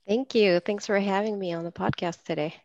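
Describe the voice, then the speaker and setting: Woman from Peru with a gravelly voice. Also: medium pitch, steady tone, brisk, darkish tone, no echo, muffled recording. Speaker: lighting designer guest. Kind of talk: podcast interview.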